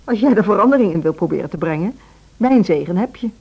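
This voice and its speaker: An actress playing a composed, patronizing female music school director in a Dutch radio drama, speaking in a measured, authoritative way. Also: mid-range voice, animated, husky. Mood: firm, dismissive. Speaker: actor in radio play. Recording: dull, band-limited radio recording.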